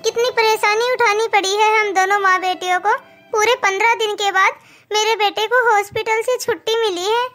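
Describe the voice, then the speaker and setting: A woman acts out a selfish cartoon mother of a grown son. Her voice is extremely high and bright, and she complains melodramatically. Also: fairly even intonation, moderate pace. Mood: lamenting. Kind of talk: cartoon moral story acting.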